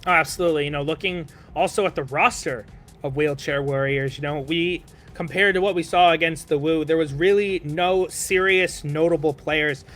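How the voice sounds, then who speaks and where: A man's voice: on the high side, steady tone, moderate pace, fairly bright tone, faint music behind. A man casting a live esports stream, recapping results in a chatty way full of "you know.". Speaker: esports caster.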